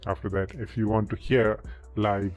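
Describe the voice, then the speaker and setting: A man giving a casual software tutorial, often tagging his sentences with okay. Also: on the low side, fairly even intonation, moderate pace. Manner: repeats okay as a tag. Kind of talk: casual screen-recorded tutorial. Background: background music.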